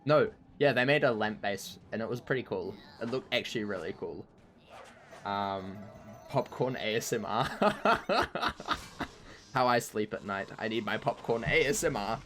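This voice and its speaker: A man with a croaky voice chatting casually while streaming a game, rambling and poking fun at himself. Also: fairly low pitch, lively intonation, measured pace, a bit bright. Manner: rambling and self-mocking, laughs easily. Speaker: streamer playing an online game. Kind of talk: casual chat while gaming.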